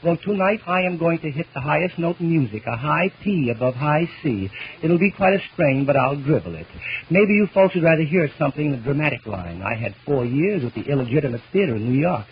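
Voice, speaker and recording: Vintage party-record comedian, male, mock-boastful, high-set husky voice, muffled scratchy disc sound. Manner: theatrical, mock-boastful patter. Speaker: stand-up comedian. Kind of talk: old-time bawdy party-record joke telling.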